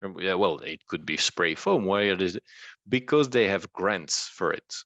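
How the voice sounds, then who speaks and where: Low-voiced man, close and dry. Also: animated, unhurried, fairly bright tone, no room sound, muffled recording. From Belgium. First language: not English. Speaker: interview guest, rank and rent website entrepreneur. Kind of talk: explanatory conversational talk.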